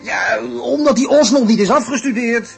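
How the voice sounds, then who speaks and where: A man playing a smooth-talking young student conman who poses as a professor, glib and hesitating, in a radio play. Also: extremely high pitch, moderate pace, faint music behind. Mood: coaxing. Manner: glib, hesitating, mock-deferential. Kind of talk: Dutch radio play acting. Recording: clearly noisy radio play recording.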